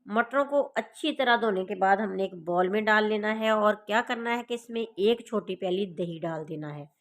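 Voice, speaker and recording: A woman with a sore throat casually narrates a recipe step by step, addressing her viewers directly as a home cook hosting her channel. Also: medium pitch. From Pakistan. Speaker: home cooking channel host.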